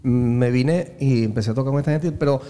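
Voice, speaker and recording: A Venezuelan man, a singer who leads a band, chatting casually and telling lively anecdotes. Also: medium pitch, fairly quick, long reverb tail. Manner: storytelling, animated anecdotes. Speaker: singer and bandleader. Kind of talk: casual conversation.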